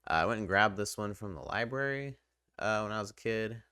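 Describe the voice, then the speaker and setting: Low-voiced young man, clear and casual. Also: expressive, a little fast, sharp tone, no echo, studio quality. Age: young adult. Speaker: video host. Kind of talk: casual, unscripted video game review.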